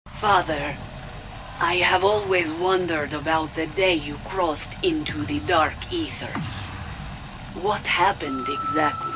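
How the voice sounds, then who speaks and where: Slow, low-voiced fanatical villainess. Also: female voice, noisy background. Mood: wounded, restrained. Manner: intense, deliberate, theatrical. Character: fanatical, proud female military villain, devoted daughter. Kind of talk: dramatic video game audio log acting.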